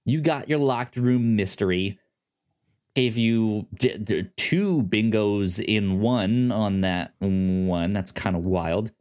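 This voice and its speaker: A podcast co-host chatting casually about TV in a halting way, often repeating words mid-sentence. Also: low-pitched, darkish tone.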